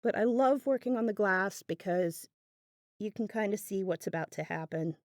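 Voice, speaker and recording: A woman's voice: mid-range voice, normal speed, a little mellow, no echo, studio quality. A woman hosting a craft video in a casual, unscripted voiceover, musing aloud in a chatty, self-deprecating way. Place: indoors, voice-over recorded apart from the video.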